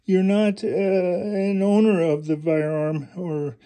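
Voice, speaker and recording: A middle-aged man in a casual hands-on show-and-tell, halting with many fillers and restarts, recorded indoors by an overhead first-person camera. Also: high-pitched, level tone, dark tone. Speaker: collector showing an air pistol. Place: indoors at home, carpeted floor.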